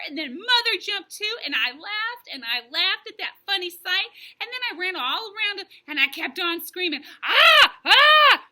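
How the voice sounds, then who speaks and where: Woman acting as a little girl, mischievous, excitable, theatrical, high-pitched, piercingly bright, storybook telling. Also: full character voice. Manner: theatrical, childlike grammar, dramatic shrieks and hushes. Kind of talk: animated children's storybook reading.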